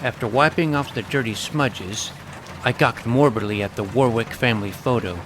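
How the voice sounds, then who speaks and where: A man narrates a scripted story in the first person. His voice sits at a medium pitch. Clear ambient noise and effects run behind him. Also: normal speed.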